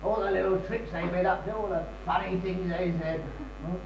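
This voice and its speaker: A man's voice: sits high, moderate pace, vocal fry. A man with a British accent acting a rambling, self-pitying drunken man in an amateur stage comedy. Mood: nostalgic, tipsy. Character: maudlin, rambling drunken man abandoned by his partner. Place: small theatre stage. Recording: echoey, distant stage recording with hum.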